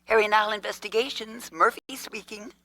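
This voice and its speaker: An actress playing a brisk secretary at a detective agency in a radio drama. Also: female voice, mid-range voice, steady tone, heavy background noise, lightly acted. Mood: brisk, impatient.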